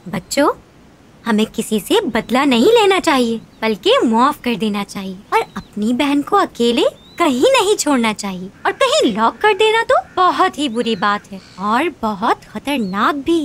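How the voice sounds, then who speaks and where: A woman playing a caring, gently scolding mother of young children in a cartoon. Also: high voice, sing-song, unhurried, exaggerated voice. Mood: gently lecturing.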